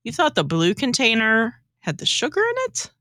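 A woman's voice: on the high side, very animated, normal speed, pushed and bright, no echo, studio quality. A woman co-hosting a podcast in a comedic, theatrically exaggerated style. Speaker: podcast co-host. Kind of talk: comedic radio skit and casual chat.

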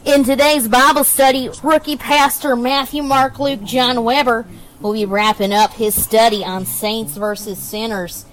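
Sketch comedy: woman doing earnest lady sports analyst, high-pitched, emphatic and polished. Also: steady tone, a little slow, close to an everyday voice, low-quality recording. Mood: earnest, impressed. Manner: polished, emphatic announcer delivery. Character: earnest, impressed female sports co-commentator. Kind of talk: mock sports broadcast commentary.

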